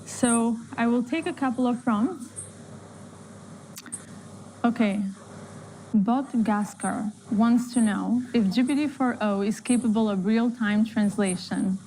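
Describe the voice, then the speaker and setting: A woman's voice: fairly high pitch, takes it slowly, pauses often, mellow, slight background noise, rough recording. A woman presenting a live product demo in a conversational style, as its host. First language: Italian speaker. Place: live staged demo before an online audience.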